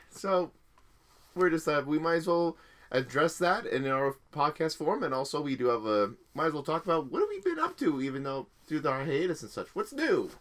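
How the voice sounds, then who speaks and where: A man's voice: on the high side, big pitch swings, moderate pace, fairly bright tone. A young man hosting a casual podcast, rambling through stories and laughing often. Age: young adult. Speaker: podcast host and editor. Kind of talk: casual chat.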